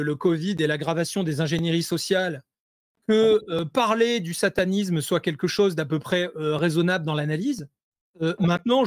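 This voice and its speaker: A man speaking as an interview guest over a video call, hesitant and full of fillers and restarts, growing animated when he presses a point. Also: sits high, no room sound, studio quality. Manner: animated, rising intensity when making points. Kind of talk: conversational interview analysis.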